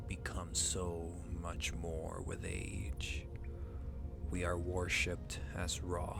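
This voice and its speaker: A man voicing a very slow, deep ancient dragon. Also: gravelly, heavily acted. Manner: slow, haughty, teasing drawl. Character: ancient proud male dragon in human form, taunting, seductive. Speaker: ASMR roleplay performer. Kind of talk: ASMR roleplay acting. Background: music.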